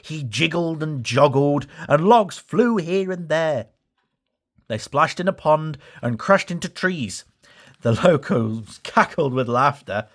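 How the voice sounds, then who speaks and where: Lively man reading a children's story. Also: on the high side. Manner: animated, acts out dialogue. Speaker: storybook reader. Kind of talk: children's story read aloud, expressive narration.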